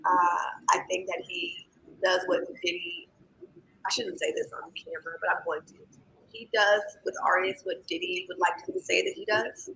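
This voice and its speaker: Raspy, gravelly-voiced singer talking candidly. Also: medium pitch, little pitch movement, normal speed, bright, forceful tone. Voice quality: raspy, scratchy voice. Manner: candid, rambling, many fillers and restarts. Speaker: guest singer and songwriter. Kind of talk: casual interview chat.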